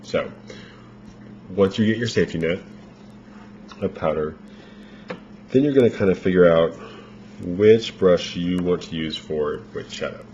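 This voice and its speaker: Deep-voiced man, rambling makeup tutorial. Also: measured pace, pauses often. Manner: relaxed, rambling instructions with fillers. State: losing his voice. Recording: dull lo-fi home recording with faint noise.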